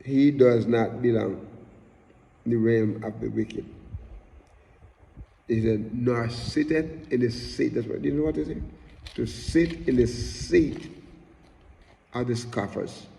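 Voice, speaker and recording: A middle-aged man with a Jamaican accent preaching a sermon in a slow, emphatic way, repeating refrains and rising in bursts. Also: mid-range voice, steady tone, creaky, mellow, a little hiss or hum. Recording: long hall reverb.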